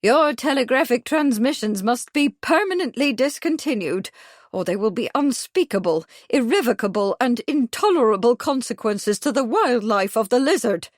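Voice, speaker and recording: A woman voicing an imperious elderly spinster with a high, shrill voice, haughty and indignant. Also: animated, moderate pace, no room sound, studio quality. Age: older.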